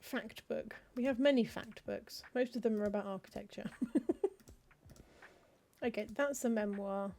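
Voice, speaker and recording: A woman talks through a cozy game in a relaxed, conversational way, often murmuring half to herself as she scans lists. Her voice is mellow and warm rather than bright, sitting at an ordinary middle pitch, and she adds dry little asides. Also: normal speed, long pauses. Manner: chatty, wry, muttering lists of titles to herself. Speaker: streamer playing a cozy game. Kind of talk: casual gameplay commentary, reading game text aloud.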